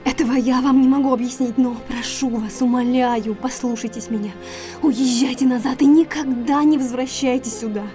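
Actress as elegant, secretive young sister for audio drama: high voice, anxious and refined, music and insects underneath. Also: female voice, fairly even intonation, moderate pace, warm tone, close to an everyday voice. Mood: urgent, pleading.

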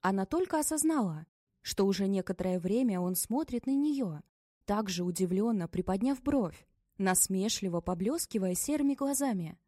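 A woman narrating a story in an even, measured reading voice. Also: mid-range voice, no echo, studio quality. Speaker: audiobook narrator. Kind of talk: scripted audiobook narration.

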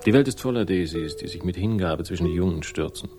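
An actor playing a wry, world-weary private investigator who narrates a crime radio drama in a deadpan, sardonic way. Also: male voice, low voice, fast talker, music in the background. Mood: dry irony.

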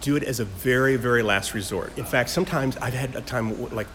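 A man's voice: medium pitch, a little fast, fairly bright tone. A man giving casual coaching advice, searching for words as he offers examples. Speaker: interviewee giving voice-directing advice. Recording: noisy room ambience.

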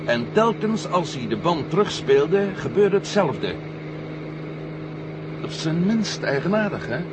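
An actor playing a sharp-witted, suspicious man in a 1970 radio drama, wry and questioning. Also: fairly high pitch, very animated, unhurried, heavy background noise. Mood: thoughtful. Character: sharp-witted, suspicious male companion of a general. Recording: old radio recording, loud engine drone.

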